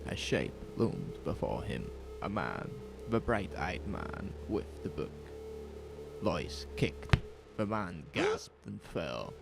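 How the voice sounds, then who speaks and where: Guy narrating horror audio drama, grim n dramatic, low gravelly voice, music behind. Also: sing-song, slow. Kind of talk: scripted narration of a horror story.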